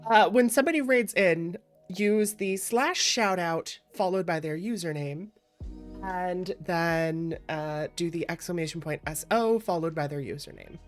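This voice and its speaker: Chatty playful lady streamer, kinda low voice, takes her time, music in the background. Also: measured pace. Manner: chatty, playful, expressive, breaks off to react to viewers. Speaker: streamer, variety VTuber and host. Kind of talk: casual livestream chat with viewers.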